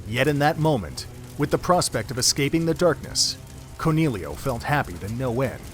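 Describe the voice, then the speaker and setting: A man's voice: mid-range voice, lively intonation, normal speed, fairly bright tone, faint music behind. A man giving solemn, literary scripted narration for an audio drama.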